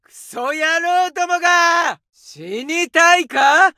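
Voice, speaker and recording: A man voicing an aggressive young male infantry soldier in a war game, shouting taunts and orders. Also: very high voice, slow pace, no room sound, clean studio sound, heavily acted. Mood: taunting.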